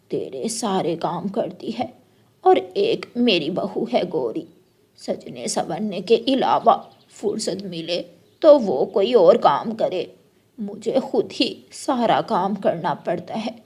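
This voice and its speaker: A woman's voice: medium pitch, sing-song, takes it slowly, a little mellow, husky, heavily acted. A woman voicing a blunt, gossipy older neighbour mother-in-law bird in a children's cartoon, complaining and giving reproachful advice. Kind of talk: Hindi children's cartoon story voice acting.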